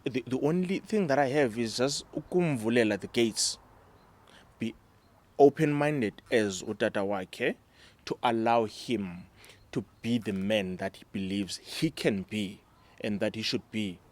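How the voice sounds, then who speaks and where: A middle-aged South African man from the Eastern Cape, a native Xhosa speaker, speaking in a measured, reflective way about fatherhood and often checking in with his listeners. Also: mid-range voice. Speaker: discussion panelist, father. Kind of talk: casual reflective discussion.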